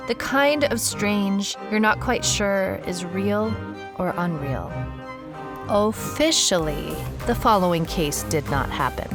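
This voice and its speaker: A world-weary female private eye with a low voice. She narrates in a scripted noir audio drama style, dry and deadpan, with wry understatement throughout. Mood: guarded, wry. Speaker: narrator, lead actor.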